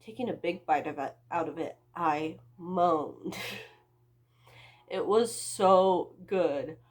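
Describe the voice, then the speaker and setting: A woman's voice: fairly low pitch, slow pace. A young woman reading her own story aloud as a book vlogger, with a dramatic, self-mocking delivery and amused asides.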